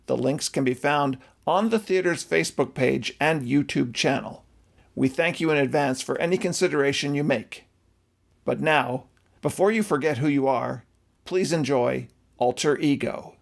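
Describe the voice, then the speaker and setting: A man giving a scripted introduction as announcer, formal and measured. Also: mid-range voice, vocal fry. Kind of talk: scripted introduction to a virtual performance.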